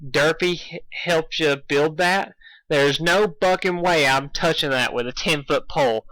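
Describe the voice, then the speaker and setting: Man as a folksy female farm pony, bright voice. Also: on the high side, a little slow, no room sound, rough recording. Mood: wary.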